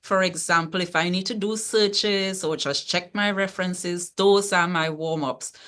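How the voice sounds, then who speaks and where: A woman from Tobago speaks as a librarian guest on an online panel. Her tone is bright and forward, and she lays out her points in a measured, explaining way. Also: fairly low pitch, steady tone.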